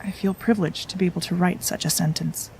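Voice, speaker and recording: An actress playing a composed, courteous but firm medical woman in an audio drama, speaking in a measured way. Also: on the low side, steady tone, vocal fry, darkish tone, a little hiss or hum, low-quality recording. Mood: reflective. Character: composed, polite, firm female medical professional. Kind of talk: audio drama acting with reflective narration.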